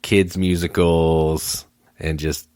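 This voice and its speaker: A man's voice: sits low, little pitch movement, takes it slowly, darkish tone, clear, resonant tone, no room sound. A man co-hosting a podcast in casual chat, making dry, wry remarks. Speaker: podcast co-host.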